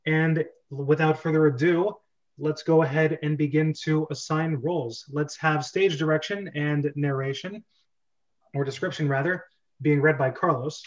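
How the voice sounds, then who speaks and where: A man's voice: fairly high pitch, fairly even intonation, moderate pace, highs cut off. A man hosting a script-reading club over a video call, talking casually.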